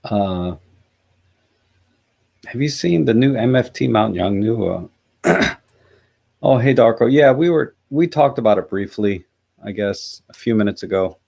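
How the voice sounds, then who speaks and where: A man hosting a casual live chat, musing and halting, with a hoarse, scratchy voice. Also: lowish voice, expressive, darkish tone. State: hoarse after a night out. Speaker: livestream host. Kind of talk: casual live chat, reading out and answering comments.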